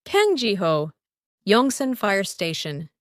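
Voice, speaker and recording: A woman's voice: medium pitch, big pitch swings, takes it slowly, dry recording, studio quality. A woman voicing a stoic, guarded male firefighter in a romance audio story, speaking tersely. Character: stoic male firefighter in his prime, terse, easily flustered.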